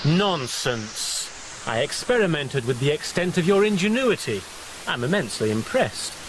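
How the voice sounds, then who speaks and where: An actor playing a cold, calculating experimenter in a radio drama, with a smug, formal and precise way of talking. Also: male voice, fairly high pitch, very animated, measured pace, background noise. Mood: coldly dismissive. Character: cold, calculating male experimenter who toys with people.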